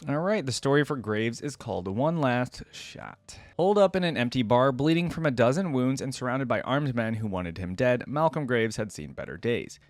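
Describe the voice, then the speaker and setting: Man, reaction video host, medium pitch, lore read aloud, casual asides, dry sound. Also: lively intonation, moderate pace, few pauses, no room sound.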